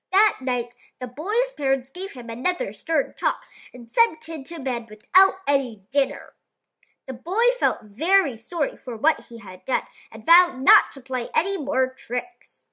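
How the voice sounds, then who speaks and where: Lady reading a kids story out loud, high bright voice, really expressive storytelling. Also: unhurried. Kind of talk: expressive children's storybook narration.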